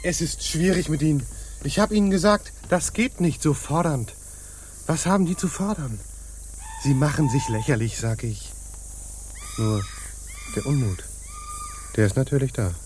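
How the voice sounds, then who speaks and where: Hesitant, highish-voiced pirate underling played by a man. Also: moderate pace, long pauses, a little mellow, slight background noise, close to an everyday voice. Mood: cautious concern. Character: deferential, uneasy male pirate underling, hesitant worrier. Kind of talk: stage-like radio play acting.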